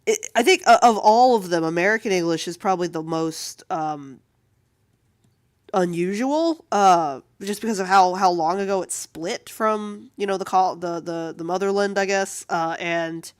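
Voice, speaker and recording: A woman artist chatting casually and with amusement on a livestream while she draws, in a rambling, animated way. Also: on the low side, normal speed, clear, resonant tone, no echo, clean studio sound. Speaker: comic artist streaming while drawing.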